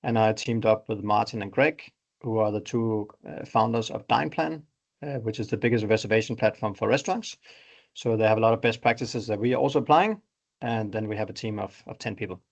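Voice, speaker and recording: A middle-aged man from Denmark with a Danish accent, presenting his startup in an online webinar in a conversational, explanatory way. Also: fairly quick. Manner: explanatory, conversational pitch with examples.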